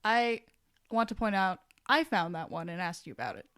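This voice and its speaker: A woman's voice: medium pitch, animated, moderate pace, a bit bright, smooth. A woman co-hosting a podcast in casual chat, blunt and exclamatory, swearing freely. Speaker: podcast co-host.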